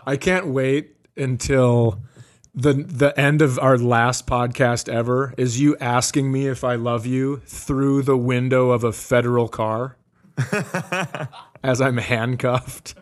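Male comedian podcaster, medium pitch, dry and sardonic casual chat, freely profane. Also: steady tone, takes it slowly.

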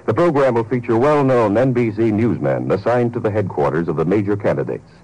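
A male network announcer from vintage radio, with a low voice. He delivers a scripted promotional read in the formal, measured manner of 1950s broadcasting. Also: animated, darkish tone, low-quality recording.

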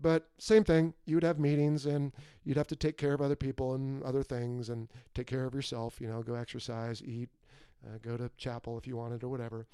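A man's voice: medium pitch, little pitch movement, brisk, mellow, smooth, no room sound. A man in his forties hosting a podcast, answering a listener question and then interviewing a guest in an upbeat, emphatic way. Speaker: podcast host.

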